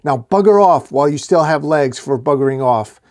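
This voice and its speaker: A man's voice: medium pitch, lively intonation, normal speed, darkish tone, close to an everyday voice. A man performs a living magic shield in a comic fantasy story. It is boastful and bombastic, cheerfully hungry for violence, and delivers its threats with swagger.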